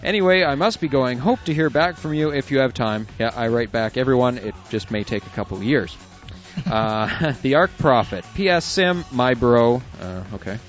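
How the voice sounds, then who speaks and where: Casual male radio co-host, mid-pitched, reads emails with chuckles, dull lo-fi sound with faint music behind. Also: normal speed. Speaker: internet radio co-host reading listener emails. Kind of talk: casual on-air chat.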